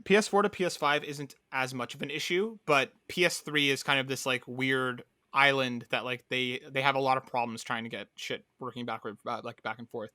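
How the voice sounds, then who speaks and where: A man's voice: mid-range voice, fairly even intonation, brisk, hardly any pauses, bright, forceful tone, dry recording, clean studio sound. A man hosting a podcast, talking casually and inquisitively, often checking that others follow him. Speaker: podcast host. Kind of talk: casual discussion, posing questions.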